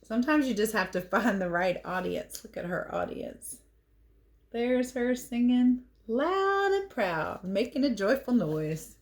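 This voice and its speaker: A woman's voice: on the high side, very animated, a little slow. A woman teacher reading a picture book aloud to children, telling it expressively and adding playful, chatty asides about the pictures. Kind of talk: animated read-aloud storytelling with casual asides.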